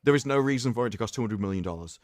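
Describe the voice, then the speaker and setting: A man hosting a livestream, reading aloud in a mocking, theatrical way with sarcastic asides and drawn-out emphasis. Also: medium pitch, animated, no room sound, studio quality. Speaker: livestream host. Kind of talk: mocking dramatic reading of an article with commentary.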